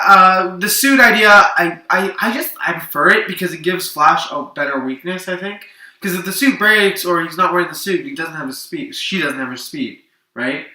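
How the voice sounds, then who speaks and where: Bright, forceful man in a roomy space. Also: on the high side. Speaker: co-host of a comics story show. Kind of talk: casual unscripted chat. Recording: roomy echo, distant from the mic.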